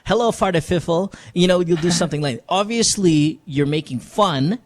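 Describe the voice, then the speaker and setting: A man's voice: sits high, normal speed. A man hosting a casual podcast, chatty and loose, swearing offhandedly and slipping into mock voices. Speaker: podcast host.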